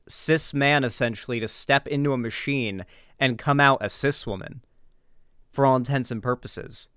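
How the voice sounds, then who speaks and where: Male streamer, American accent, clear close-sounding voice with no room sound, blunt informal political commentary. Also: medium pitch, fairly bright tone, no echo. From Florida, United States. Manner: emphatic, opinionated, informal, swearing. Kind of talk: casual reaction commentary.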